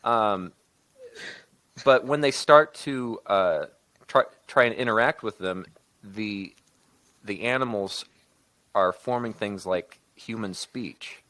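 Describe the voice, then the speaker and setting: Male podcast co-host, low voice, dry close sound, pitches stories informally with frequent rewording. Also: bright, forceful tone, no echo. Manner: halting, often backtracking and rewording mid-sentence. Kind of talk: casual creative discussion, improvised story pitch.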